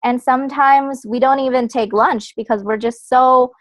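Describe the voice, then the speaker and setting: A woman's voice: high voice, fairly even intonation, normal speed, no room sound. Chatty young woman, dry close sound. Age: young adult. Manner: chatty, uses many fillers like "like" and "so". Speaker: guest speaker. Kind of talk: casual personal talk.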